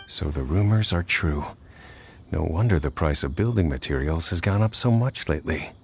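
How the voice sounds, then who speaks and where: A man's voice: low-pitched, expressive, moderate pace, creaky, a little mellow, a little hiss or hum. An actor playing a humble, curious tradesman in a fantasy video game, speaking in a polite way. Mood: curious, deferential. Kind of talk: scripted video game dialogue.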